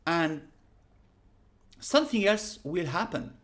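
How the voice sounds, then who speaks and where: A woman in her thirties with a French accent, teaching a casual lesson to a webcam in a small room at home, unhurried and full of fillers. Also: fairly low pitch, very animated. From France. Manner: unhurried, pauses with many fillers, direct. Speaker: harmonica teacher. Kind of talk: casual spoken lesson to camera.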